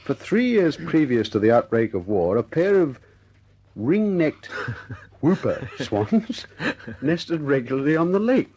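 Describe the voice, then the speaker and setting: A man acting in a radio drama as a cocky, jovial air force officer and ladies' man, husky-voiced and playful. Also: fairly high pitch, very animated, takes it slowly, a little mellow, exaggerated voice. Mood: amused, mock-solemn.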